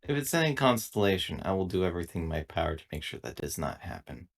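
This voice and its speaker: A man playing a tabletop roleplaying game, speaking in character with measured wording. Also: low voice, very animated, creaky. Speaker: tabletop game player speaking as an android crew member.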